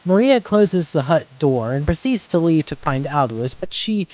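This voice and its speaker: A man's voice: a little high, big pitch swings, moderate pace, darkish tone, muffled recording. A man reading scripted scene narration for an amateur audio drama.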